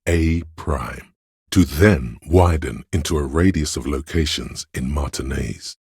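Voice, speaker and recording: Detective's inner voice for a game, super gravelly bass, close dry sound, precise and deadpan observing. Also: male voice, a little slow, no room sound, heavily acted. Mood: measured, analytical. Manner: precise, clinical, dryly observant. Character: analytical inner voice of a detective's visual reasoning. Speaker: game voice actor. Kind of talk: measured, deliberate game narration.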